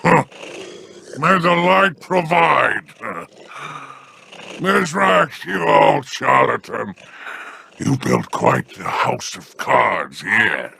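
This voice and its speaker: Smug gravelly schemer, slow, bright edge, lively pitch, game character. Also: male voice, a little hiss or hum, full character voice. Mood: sly.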